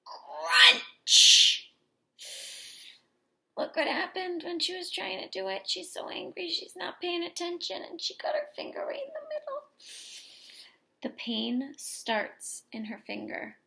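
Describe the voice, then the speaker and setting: A woman teacher reading a picture book aloud expressively to young children, pausing for explanations and questions. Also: very high-pitched, sing-song, creaky, pushed and bright, poor audio. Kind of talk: expressive children's story read-aloud with asides to listeners.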